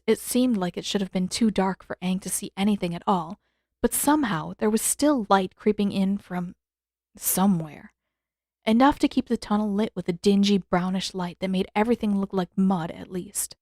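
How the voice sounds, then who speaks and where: A woman's voice: mid-range voice, normal speed, a little mellow, no room sound. Woman narrator with a dry, close sound. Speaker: audiobook narrator. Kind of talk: scripted story narration.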